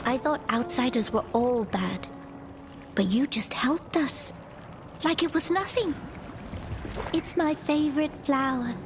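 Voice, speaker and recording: An actress playing a small, sweet, grateful village child in a video game, gentle and innocent, over music. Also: female voice, high-pitched, slow pace, long pauses, noisy background. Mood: grateful, shy. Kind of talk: video game character acting. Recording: clear game music underneath.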